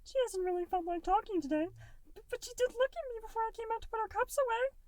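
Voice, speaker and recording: A woman voicing a small, innocent, timid boy made of goo in a fan fiction audiobook reading, squeaky and whimpering. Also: quick pace, a little mellow, distant mic.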